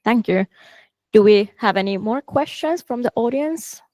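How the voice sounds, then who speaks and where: A woman moderating a conference Q&A, asking polite, measured questions of the presenters. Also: a little high, lively intonation, a little mellow, no room sound. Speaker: event moderator.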